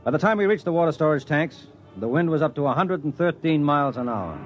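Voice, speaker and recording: An actor playing a gruff, determined space explorer who narrates and gives orders in a decisive way, in 1950s radio drama style. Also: male voice, fairly high pitch, animated, fast talker, lightly acted. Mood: grim. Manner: decisive, commanding. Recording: dull old radio recording, loud music.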